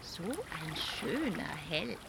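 An actress playing an alluring, ominous river nymph in a fantasy radio play, with a hushed, foreboding delivery. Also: female voice, medium pitch, big pitch swings, takes it slowly, creaky, a little hiss or hum, full character voice. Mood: teasing. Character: female Rhine water nymph, alluring and ominous, prophesying doom. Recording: music behind the voice.

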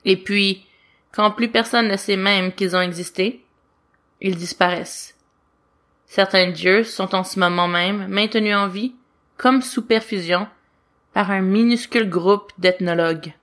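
A woman reads fiction aloud in a mid-range voice. Her delivery is that of an expressive storyteller, narrating for listeners. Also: medium pitch, a little slow. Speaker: audiobook reader of fan fiction.

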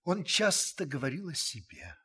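An actor playing a reflective older French uncle, narrating a radio drama in a husky, measured, literary manner. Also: male voice, on the high side, big pitch swings, sharp tone, exaggerated voice. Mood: pensive, restrained. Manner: measured, pensive, literary delivery.

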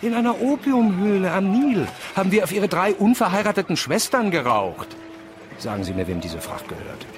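A man's voice: sits high, sing-song, moderate pace, noisy background. A man playing a scornful, swaggering adventurer in a radio drama, speaking in a theatrical, mocking manner. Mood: insistent. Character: scornful, swaggering male adventurer out to expose rivals.

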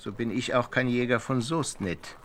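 An actor playing a jovial wandering beggar, a former soldier, in a radio play, with hearty, old-fashioned flowery speech. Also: male voice, medium pitch, fairly even intonation, normal speed, a bit bright, a little hiss or hum, natural voice. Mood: amused, heartfelt.

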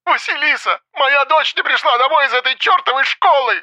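An actress playing a hysterical, accusing mother in an audiobook, shrill and agitated, heard as if over a phone. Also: normal speed, sharp tone, no room sound, highs cut off. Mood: panicked shrieking. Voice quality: shrill, shrieking.

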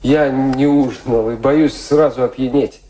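A poor young violinist played by a man in a radio drama, speaking in a medium-pitched voice. He is hesitant and self-effacing, forever apologising and giving thanks. Some noise sits behind the voice. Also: fairly even intonation, a little slow, warm tone, close to an everyday voice. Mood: earnest. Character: poor, shy, grateful young male violinist. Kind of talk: radio play acting.

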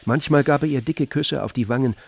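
A man's voice: mid-range voice, brisk, gravelly, a little mellow, husky, no room sound. A man narrating a literary German radio drama as a scripted storyteller. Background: music.

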